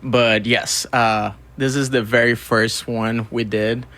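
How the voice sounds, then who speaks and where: A man's voice: medium pitch, flat delivery, slow pace, bright, forceful tone. A man from Brazil speaking casually as a guest in an interview. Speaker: interview guest, record label co-founder and music photographer.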